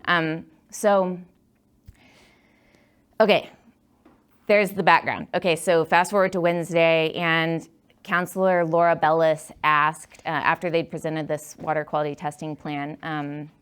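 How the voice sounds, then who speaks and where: A woman's voice: deep voice, level tone, a little slow, a bit bright, clear, resonant tone. A woman speaking at a public meeting, chatty and hesitant, with many fillers and restarts. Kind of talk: casual talk with audience questions. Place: meeting room.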